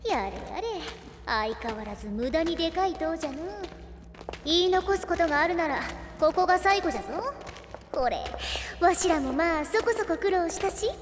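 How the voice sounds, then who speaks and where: An actress playing a haughty small companion in an anime game, blunt and mocking, with archaic old-sage diction. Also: female voice, extremely high pitch, lively intonation, moderate pace, big-room sound, background noise. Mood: gruff, grudging. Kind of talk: Japanese anime game voice acting.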